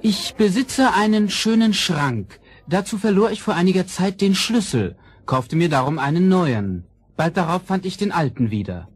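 An earnest, high-voiced young prince. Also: male voice, expressive, moderate pace, breathy edge, no echo. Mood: solemn. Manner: declamatory, formal. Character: earnest, noble young fairy-tale prince. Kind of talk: theatrical children's radio play acting.

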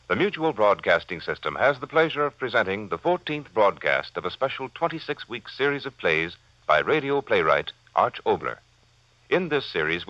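A man's voice: medium pitch, a bit bright, dry recording. A man with an American accent making a formal 1940s-style radio announcement. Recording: dull vintage radio recording.

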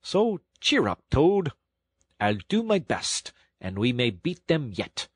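A man's voice: mid-range voice, slow pace, breathy edge, dry recording, full character voice. A man doing a stern, kindly engine driver. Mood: stern then kind. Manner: steady, firm and plain-spoken. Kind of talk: audiobook storytelling.

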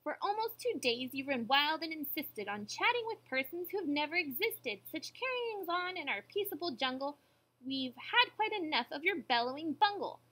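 A woman puts on a bossy storybook kangaroo. The voice is very high and lively in pitch. It snaps out words with haughty scorn. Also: moderate pace, heavily acted, rough recording. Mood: scornful. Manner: snappish, haughty. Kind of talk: children's storybook character read aloud.

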